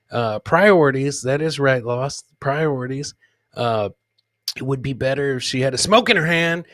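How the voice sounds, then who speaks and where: A man's voice: medium pitch, fairly bright tone, dry recording, clean studio sound. A man hosting a live comedy show, commenting on clips casually and informally, repeating his lines like a showman. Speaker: podcast host.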